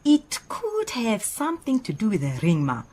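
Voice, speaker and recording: Animated, opinionated woman assistant. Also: a little high, moderate pace, husky, heavily acted. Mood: coy, chatty. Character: earnest, opinionated female detective's assistant, proud and chatty. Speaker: actor in radio drama. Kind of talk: radio drama acting.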